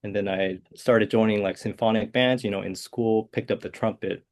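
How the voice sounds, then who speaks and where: Male composer, 40s, fry-edged low voice, hesitant and thoughtful. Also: steady tone, normal speed. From South Korea. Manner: soft-spoken, hesitant, many uh and um fillers. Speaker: guest composer. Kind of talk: interview answers.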